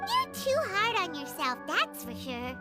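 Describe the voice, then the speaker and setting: A tiny, eager game sidekick with an extremely high, squeaky little-girl voice. The delivery swoops wildly up and down, chirpy and loyal. Loud, obvious music sits behind it. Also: wildly swooping pitch, a little slow, full character voice. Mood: reassuring. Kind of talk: video game voice acting.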